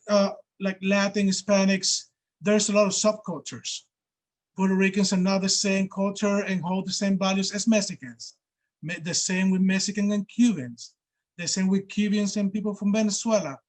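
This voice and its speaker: A man's voice: high voice, steady tone, normal speed. A man speaking earnestly on a video call as a panelist, explaining his point with examples. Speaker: panelist, care coordinator. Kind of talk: casual panel discussion.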